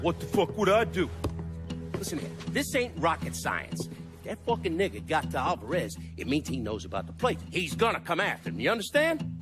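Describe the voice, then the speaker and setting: Domineering mob boss, high animated voice, ranting, profane, video game drama. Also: male voice, brisk, music in the background. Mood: furious. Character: foul-mouthed, enraged racist mob boss giving orders.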